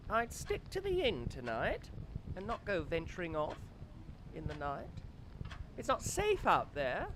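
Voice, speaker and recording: An actress playing a folksy, gossipy innkeeper in an audio drama, with quaint theatrical phrasing. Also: female voice, mid-range voice, fairly bright tone, slight background noise, low-quality recording. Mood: ominous warning. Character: cheery, sly innkeeper barmaid, gossipy and foreboding.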